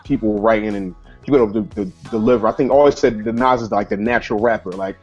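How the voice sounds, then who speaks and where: A man's voice: fairly low pitch, fairly even intonation, fast talker, darkish tone, breathy edge. Rambling man in his thirties. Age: 30s. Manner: rambling, full of restarts. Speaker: panelist, rapper. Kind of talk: casual conversation. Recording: lo-fi, faint music behind.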